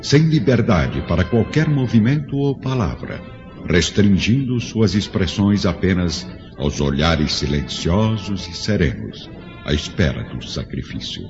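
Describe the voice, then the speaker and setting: An actor serving as the grave, dramatic narrator of a scripted radio drama. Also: male voice, low voice, very animated, unhurried, exaggerated voice. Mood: grave, suspenseful. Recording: dull radio-drama recording, music behind.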